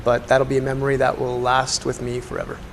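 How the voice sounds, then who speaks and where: A man's voice: medium pitch, fast talker, vocal fry. A Canadian man casually reminiscing in a tribute to a colleague. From Canada, Alberta. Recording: reverberant room, faint background noise.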